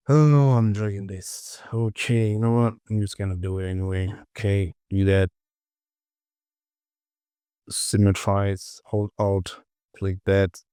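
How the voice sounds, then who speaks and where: A man giving an informal software tutorial, muttering short clipped instructions to himself and often repeating words. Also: on the low side, gravelly, no room sound, clean studio sound. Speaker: 3D modeling tutorial presenter.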